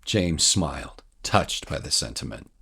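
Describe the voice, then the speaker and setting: A man's voice: very deep voice, lively intonation, measured pace, vocal fry, bright, forceful tone, husky. A man narrating scripted dramatic fiction as a storyteller. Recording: music bed under the voice. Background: music.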